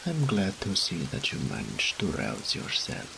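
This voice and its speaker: A man's voice: deep voice, wildly swooping pitch, measured pace, gravelly, noisy background. A man voicing a smug, seductive demon incubus in a roleplay audio drama, with a teasing, haughty, theatrical drawl. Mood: teasing, dramatic.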